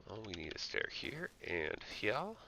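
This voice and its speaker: A man's voice: fairly low pitch, wildly swooping pitch, a little slow, vocal fry, music in the background. A man giving casual live gameplay commentary, thinking aloud, muttering to himself and tossing playful asides to the characters. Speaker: streamer playing a game.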